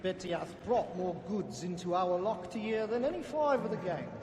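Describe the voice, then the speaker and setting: A man's voice: high-pitched, animated, normal speed, noisy background. An actor playing a deferential errand man for thieves in a period stage play, speaking in a declamatory theatrical way. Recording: echoey theater hall, loud music behind.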